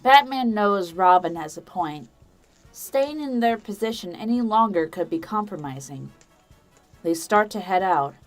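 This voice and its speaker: A woman's voice: medium pitch, animated, measured pace, low-quality recording. A woman reading scripted third-person narration for an audio drama. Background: background music.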